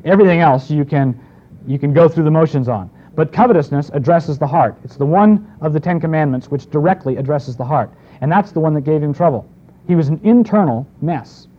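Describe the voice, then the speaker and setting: A man teaching through a Bible passage in a conversational way, with restarts and asides. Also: on the high side. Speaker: preacher. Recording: dull lo-fi recording.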